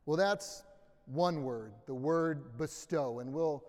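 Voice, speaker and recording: Man, American accent, higher-than-average voice, conversational preacher, informal humor, long boomy reverb. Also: slow pace, darkish tone. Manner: informal, self-deprecating humor. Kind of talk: conversational sermon with personal anecdotes. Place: large indoor church room, amplified.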